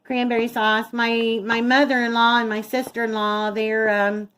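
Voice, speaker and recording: A woman's voice: fairly high pitch, little pitch movement, normal speed, a bit bright, slight background noise. A middle-aged woman chatting casually as she narrates each step of her home cooking as a vlog host. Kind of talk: casual chat while cooking. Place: home kitchen.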